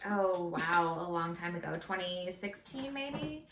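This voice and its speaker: A woman's voice: fairly low pitch, expressive, unhurried, a bit bright. A woman co-hosting a podcast in casual conversation, adding wry asides. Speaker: podcast co-host. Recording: roomy echo with clear background noise.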